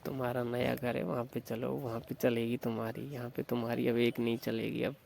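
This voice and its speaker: A young man playing a mildly sulky, teasing newlywed husband in a casual staged phone-call skit, questioning and gently reproachful. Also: medium pitch, flat delivery, a little fast, vocal fry, lightly acted. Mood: reassuring, teasing. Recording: noisy phone call recording.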